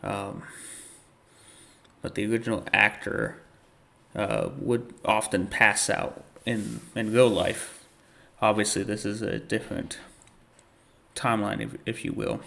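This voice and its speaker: A man hosting a reaction video, casually commenting and reading captions aloud in a halting, thinking-aloud way. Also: lowish voice, level tone, gravelly, fairly bright tone.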